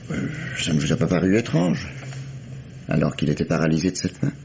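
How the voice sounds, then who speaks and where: A very low-pitched police commissioner in a vintage radio mystery. He questions with formal courtesy and patient insistence. The old recording is dull, with a faint hiss of noise. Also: very animated, creaky, exaggerated voice. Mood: probing. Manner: formal, polite, measured questioning.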